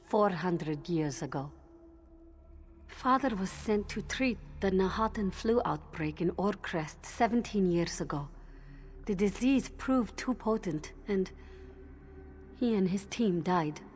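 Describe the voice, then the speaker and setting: Woman acting as young feline mage woman, fantasy game, unhurried delivery, earnest, grieving, solemn, faint background noise. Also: lowish voice, darkish tone, close to an everyday voice. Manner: measured, halting, solemn. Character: young cat-folk woman mage, earnest, haunted by grief. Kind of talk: scripted fantasy game dialogue.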